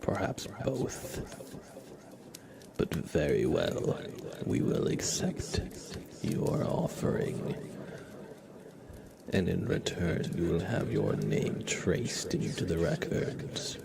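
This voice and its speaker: Guy putting on a creepy dark cultist, gravelly and ominous, dragging out words in a whispery asmr ritual, hissy stream mic. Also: sits low, big pitch swings, pitched below the performer's own voice, full character voice. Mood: ominous, solemn. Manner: solemn, drawn-out, partly whispered delivery. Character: ominous male dark cultist sealing a sinister pact. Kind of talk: slow ASMR ritual roleplay. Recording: clearly noisy livestream microphone.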